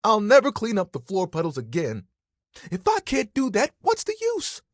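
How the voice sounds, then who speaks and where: A man's voice: sits high, wildly swooping pitch, moderate pace, dry recording, clean studio sound, heavily acted. An actor voicing a talking bath towel in a dating video game, a bashful, eager young guy who rambles casually and self-consciously in puns. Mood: dramatic, fretful. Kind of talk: dating game character dialogue.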